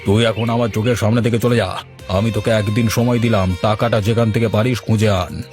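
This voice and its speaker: A man's voice: on the low side, fairly even intonation, fairly quick, music in the background, lightly acted. A man voicing a stern village boss in a cartoon, scolding and commanding with a threatening manner. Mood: angry, threatening. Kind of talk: cartoon voice acting.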